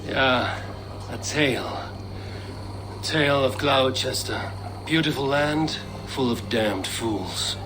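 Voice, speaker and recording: An actor playing a stern, dry-witted Viking warrior in a video game, speaking in measured, terse, old-fashioned phrases. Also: male voice, medium pitch, noisy background, lightly acted. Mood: wry, warm. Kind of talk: video game cutscene acting.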